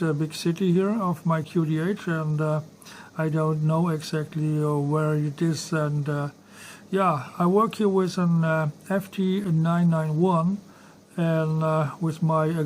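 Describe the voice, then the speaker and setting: Dutch guy doing a ham radio chat, hesitant and polite, bit high pitched, slight background noise. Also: darkish tone. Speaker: amateur radio operator. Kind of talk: casual ham radio contact.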